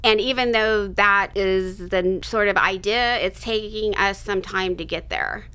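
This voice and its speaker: A woman's voice: mid-range voice, unhurried, sharp tone, clean tone. A woman in her fifties, an artist being interviewed, talking casually in a rambling way and restarting her sentences. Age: 50s. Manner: rambling, self-interrupting, laughs between thoughts.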